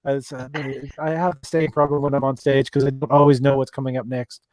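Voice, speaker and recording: A man's voice: mid-range voice, flat delivery, fairly quick, darkish tone, no room sound. A man with an Irish accent hosting a live call-in chat show and reading out viewer questions, with many ums and restarts. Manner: hesitant, many fillers and restarts. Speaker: livestream host.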